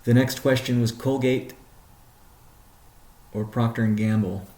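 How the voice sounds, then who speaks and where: A man speaking as a company chief executive on a conference call, answering questions in a measured, deliberate, halting way. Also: medium pitch, steady tone, darkish tone, echoey room.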